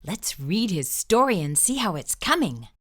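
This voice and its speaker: A woman's voice: mid-range voice, very animated, normal speed, fairly bright tone. A woman hosting a children's storytime, reading a picture book aloud expressively and putting questions to her young viewers. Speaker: children's storytime host and reader.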